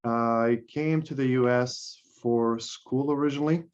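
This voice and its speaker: A man's voice: medium pitch, fairly even intonation, measured pace, a little mellow. An upbeat man from Palestine in his thirties opens an online event. He talks in a chatty way with light jokes, and his voice comes through a dull, muffled call line. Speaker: facilitator. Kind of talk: friendly event introduction. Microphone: video call.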